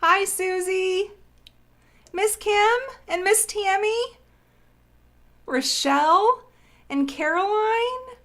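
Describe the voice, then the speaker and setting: A woman's voice: very high voice, drawn-out words, pauses often, fairly bright tone. A woman hosting a casual craft live stream, bubbly and sniffly from a cold, greeting viewers by name.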